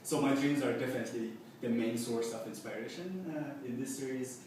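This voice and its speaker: A young man whose first language is Farsi speaks about his own paintings with no notes, halting and loose, full of filler words and restarts. His voice sits at an average pitch for a man. He is heard from a distance in a very echoey exhibition room, with faint room noise behind him. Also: fairly even intonation, a little mellow. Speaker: artist presenting his paintings. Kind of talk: unscripted gallery talk. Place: art exhibition space indoors.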